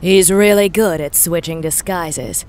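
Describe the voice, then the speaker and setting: A woman's voice: fairly low pitch, unhurried, darkish tone, close to an everyday voice. Woman voicing an offhand male military officer.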